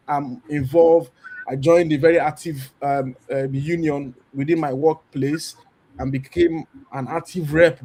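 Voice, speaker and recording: A Nigerian man in his thirties speaking on a church panel. He talks animatedly and acts out little exchanges as he tells his story. His voice sits a bit high for a man. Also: darkish tone. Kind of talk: candid testimony on a church panel.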